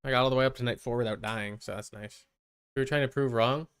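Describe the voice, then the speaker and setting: A man's voice: medium pitch, steady tone, quick pace, a bit bright, a little hiss or hum. A man doing casual, chatty live gameplay commentary as a streamer, playfully exasperated with his chat.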